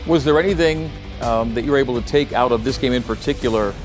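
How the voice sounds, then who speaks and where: A man hosting a sports show, asking questions and reading smooth, scripted lead-ins. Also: mid-range voice, fast talker, music in the background. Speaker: show host. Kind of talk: broadcast sports interview and narration.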